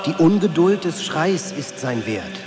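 An actor playing a solemn sage who declaims maxims in a measured manner for a radio drama. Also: male voice, on the high side, sing-song, over music. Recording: long hall reverb, faint hum.

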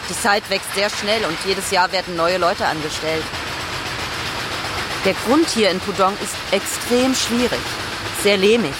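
A woman's voice: medium pitch, a little slow. A woman explaining technical work in a matter-of-fact, colloquial way. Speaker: building project professional. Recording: noisy outdoor recording with traffic.

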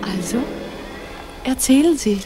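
An actress playing a gracious, lovelorn immortal Greek nymph, solemn and stately, in a 1940s German radio play. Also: female voice, high voice, lively intonation, unhurried, over music. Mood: wistful.

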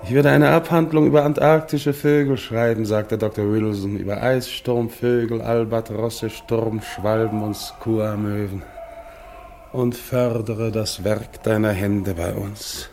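Slow, brooding polar explorer played by a man, noisy recording. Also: mid-range voice, darkish tone, lightly acted. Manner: halting, brooding, incantatory. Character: exhausted male polar expedition leader, brooding, reminiscing, solemn. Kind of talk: German radio play acting, dramatic monologue.

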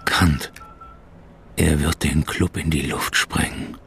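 A man's voice: extremely low pitch, fairly even intonation, slow pace, creaky, a bit bright, a little hiss or hum, heavily acted. An actor playing an earnest, urgent man in a crime radio play.

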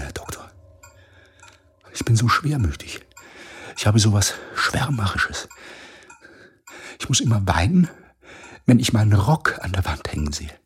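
Gloomy army captain, deep, slow, maudlin, anxious, theatrical radio drama. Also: male voice, slight background noise. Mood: mournful. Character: fearful, melancholy, self-pitying male army captain. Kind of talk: German-language radio play acting.

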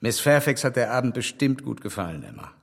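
An actor playing an earnest, kindly older gentleman who speaks in a measured, gently reproving way. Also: medium pitch, big pitch swings, gravelly, big-room sound. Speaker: gentleman advising the heroine. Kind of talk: radio drama acting.

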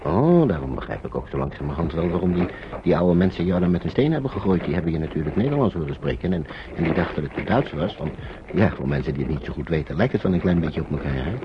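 An actor playing a kindly, protective man coaxing refugee children in a halting, avuncular way, in a radio drama. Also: rumbling low, expressive. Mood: coaxing, inquisitive. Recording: dull, noisy old radio recording.

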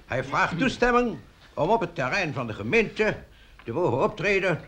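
A man playing a fussy, excitable village mayor in a radio play, speaking in a formal, speechifying manner. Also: a little high, normal speed. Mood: earnest, formal.

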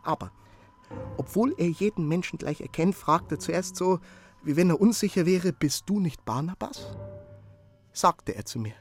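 An eager young messenger who stammers and rushes. His voice sits a little high and he talks earnestly, stumbling over the first words. Faint music runs behind him in this radio play. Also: animated. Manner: stammering, earnest, hurried report. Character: young male messenger, eager, breathless, anxious to please.

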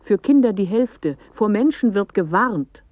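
An actress playing a clever, dreamy woman who recites a poetic radio play monologue in measured, declamatory phrases. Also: mid-range voice, expressive, dry recording, narrow, tinny audio. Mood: wry, declamatory. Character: clever woman musing in riddles, dreamy storyteller of images.

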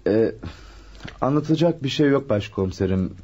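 Mellow, husky amateur sleuth. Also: male voice, medium pitch, lively intonation, normal speed. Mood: self-justifying. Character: shrewd, self-assured man playing amateur detective. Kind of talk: Turkish radio drama acting. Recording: muffled lo-fi radio drama recording.

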